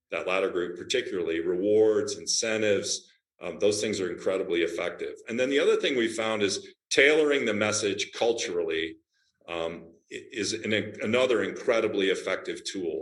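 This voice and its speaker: A man with a lowish voice explains his work in a measured, businesslike way. He pauses with fillers and restarts now and then. The sound has a noticeable roomy echo. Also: a bit bright. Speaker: healthcare company CEO, guest. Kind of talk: interview answers.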